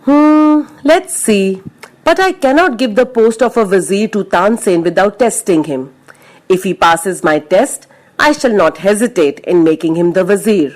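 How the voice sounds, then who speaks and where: A woman voicing a wise, measured male emperor with a regal, commanding manner in a children's story. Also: mid-range voice, animated, a little mellow, natural voice, low-quality recording. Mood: considering.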